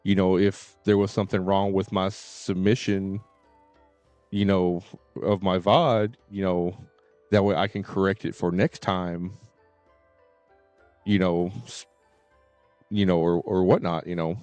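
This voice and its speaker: A man's voice: deep voice, level tone, a little slow, pauses often, a little mellow, no echo. A man chatting casually on a livestream, informal and rambling, with repeated filler phrases and some cursing. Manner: informal, mildly cursing, repetitive "you know". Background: faint game music and dialogue.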